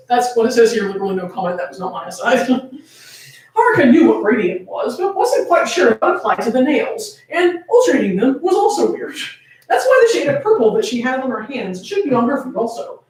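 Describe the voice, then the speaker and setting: A woman's voice: high-pitched, a little mellow. A woman giving a dramatic, comedic reading of fan fiction, theatrical and prone to amusement. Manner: theatrical, often breaking into laughter. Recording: very echoey room, far from mic.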